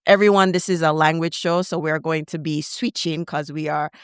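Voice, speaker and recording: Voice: mid-range voice, fairly even intonation, normal speed, clean tone, dry recording, clean studio sound. A podcast host chatting enthusiastically and asking a guest questions. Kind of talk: casual interview talk.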